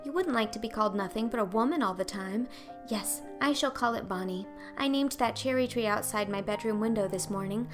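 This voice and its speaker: A woman's voice: fairly high pitch, fairly quick, over music, lightly acted. A woman voicing a talkative, dreamy orphan girl in a storybook reading, effusive, pleading and melodramatic. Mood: eager, pleading.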